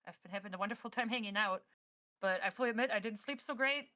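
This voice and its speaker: A woman's voice: mid-range voice, fast talker, sharp tone. A woman chatting casually and effusively as a guest on a livestream. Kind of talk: casual chat.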